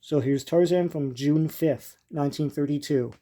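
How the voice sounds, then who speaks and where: An older man giving casual, unscripted show-and-tell commentary as a host, in a halting, musing and informal way. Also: a little high, mellow. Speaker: host leafing through vintage comic strips.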